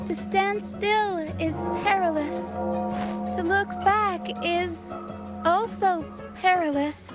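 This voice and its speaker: Very high, childlike solemn seeker. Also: female voice, slow, over loud music. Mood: solemn, contemplative. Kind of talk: dramatic game monologue.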